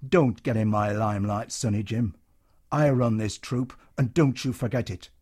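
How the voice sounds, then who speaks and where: A bullying music-hall comedian, put on by a male storyteller, loud and pitched well up. He hectors and wheedles, brash and blustering. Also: very animated, moderate pace. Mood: hectoring. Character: blustering London comedian, troupe leader, bullying then wheedling.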